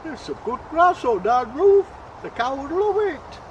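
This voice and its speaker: A man's voice: very high-pitched, big pitch swings, a little slow, dull audio, exaggerated voice. A man voicing a stubborn old farmer, matter-of-fact and sure of himself, recorded outdoors with traffic noise behind.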